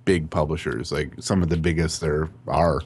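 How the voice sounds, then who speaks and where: A man with a Canadian accent and a very deep voice talks about his game in a casual interview. He speaks calmly and evenly. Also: moderate pace, slightly rough. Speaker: game developer guest.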